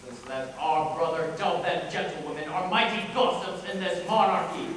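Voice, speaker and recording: A young man on stage playing a bitter, deformed, scheming villain duke in declamatory Shakespearean style with deliberate pauses. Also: a little high, a bit bright, lightly acted. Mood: sly, mocking. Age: young adult. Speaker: high school stage actor. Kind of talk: theatrical Shakespearean stage acting. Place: high school stage performance, recorded from afar. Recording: echoey theatre hall, distant microphone, faint noise.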